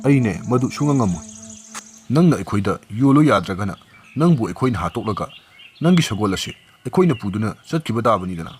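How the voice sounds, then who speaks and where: A man's voice: on the low side, sing-song, racing, a little mellow, slight background noise. A man voicing a greedy, menacing bandit in a storybook tale, demanding and threatening in manner. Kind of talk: storybook character acting. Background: music.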